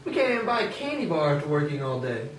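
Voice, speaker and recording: A man's voice: fairly high pitch, big pitch swings, fast talker, pitched below the performer's own voice. A man voicing an exhausted, frightened young boy working in a factory, in an amateur puppet show. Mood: weary, glum. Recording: echoey room, distant mic, faint noise.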